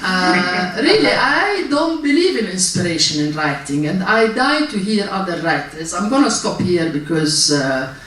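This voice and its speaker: An older woman from Palestine, speaking as a writer on a festival panel, chatty and self-mocking with halting restarts. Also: on the low side, animated, fairly bright tone. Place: public library event hall. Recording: echoey hall, distant mic, faint noise.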